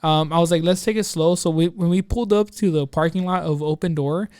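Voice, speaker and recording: A man with a fairly high, smooth voice tells an anecdote on a casual podcast. He rambles excitably in slang, acting out his own asides, in a very dry, close space. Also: fairly quick, no echo. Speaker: podcast co-host telling a personal story. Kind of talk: casual chat, anecdote storytelling.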